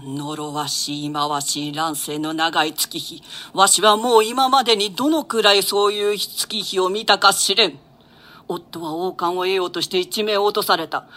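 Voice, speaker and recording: An old dowager duchess, acted by a woman, speaks with a bright, forceful edge. Her diction is archaic and theatrical, as befits a dignified, world-weary grandmother in a classical stage play. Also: mid-range voice.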